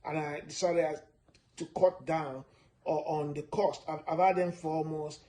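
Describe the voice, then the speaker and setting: A man from Nigeria giving a steady, repetitive finance lesson, stressing his points with frequent "uh" fillers. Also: fairly high pitch, measured pace, slight background noise. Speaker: personal finance presenter. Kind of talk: instructional talk to camera.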